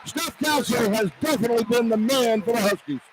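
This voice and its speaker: A man doing live radio play-by-play of a basketball game in clipped, excitable running phrases that can rise into shouts. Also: extremely high pitch, fairly quick. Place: courtside in an indoor arena. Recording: loud arena noise behind. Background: loud game ambience.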